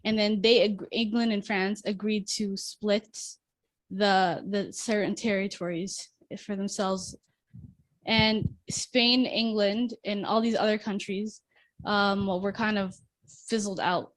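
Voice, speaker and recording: A woman's voice: medium pitch, steady tone, slow pace, dry recording. A young woman giving a class presentation and answering questions, talking with many restarts and fillers. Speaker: student presenter.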